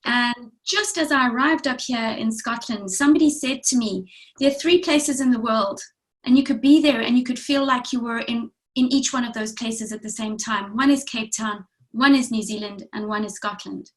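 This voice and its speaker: A woman's voice: a little high, normal speed. A woman from Africa, hosting an interview and often saying "you know". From South Africa. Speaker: interview host.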